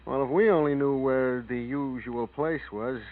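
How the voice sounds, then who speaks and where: A wisecracking police partner played by a man in a vintage radio drama. He is casual and curious, with a middle-pitched voice. The sound is narrow and tinny. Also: unhurried, close to an everyday voice. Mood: wry, curious. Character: wry, inquisitive male police partner. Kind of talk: 1940s radio acting. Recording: tinny old radio recording.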